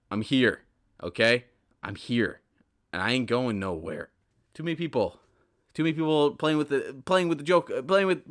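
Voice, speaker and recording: A man in his twenties hosting a casual solo podcast, rambling and stammering over repeats, swinging between loud bursts and quiet asides. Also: mid-range voice. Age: 20s. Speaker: podcast host.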